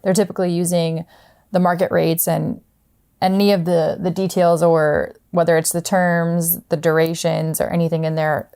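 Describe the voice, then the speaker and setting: A woman's voice: on the low side, flat delivery, normal speed, mellow, clear, resonant tone. A woman hosting a podcast interview, friendly and hedging her questions as she searches for words. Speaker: podcast host.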